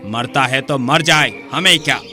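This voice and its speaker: A man's voice: medium pitch, unhurried, sharp tone, faint music behind. A man playing a proud, commanding king in a dramatised moral story. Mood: callous. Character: proud male kings, one generous, one merciless.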